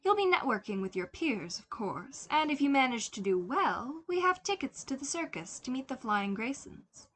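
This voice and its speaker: A woman's voice: high voice, very animated, normal speed, few pauses, fairly bright tone, pitched above the performer's own voice. A woman voicing a prim, wealthy mother with a formal, clipped, airily condescending manner in an audiobook-style story. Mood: airy, commanding. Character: prim, coolly commanding wealthy mother of a young boy.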